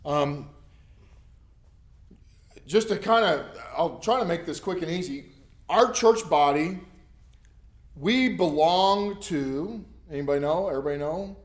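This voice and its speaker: A man with a scratchy voice leading a church Bible study, talking casually and rambling with many ums and restarts. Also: a little high. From United States. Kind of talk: casual teaching to a small group. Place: church classroom. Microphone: camera recording.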